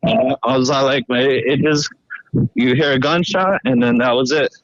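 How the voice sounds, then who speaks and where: A man on a phone call, recounting what he saw in a halting, repetitive, slangy way. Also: medium pitch, dry recording. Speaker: eyewitness phone caller. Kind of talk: casual recounting.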